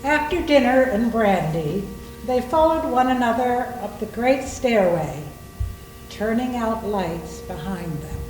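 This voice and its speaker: A woman's voice: fairly high pitch, expressive, slow, a little mellow. A woman reading scripted narration for a readers theater ghost story. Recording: echoey room with faint noise.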